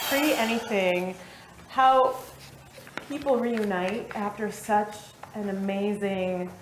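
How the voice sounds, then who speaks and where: A woman's voice: mid-range voice, slight background noise. A woman giving an informal speech to her family, talking effusively in long run-on sentences. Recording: echoey room.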